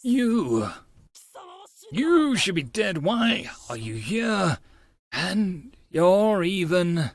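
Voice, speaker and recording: A man's voice: high-pitched, big pitch swings, extremely slow, pitched above the performer's own voice, full character voice. A man voicing an agitated, disbelieving young male protagonist from an anime visual novel in a theatrical, exclamatory way. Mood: shocked, accusing.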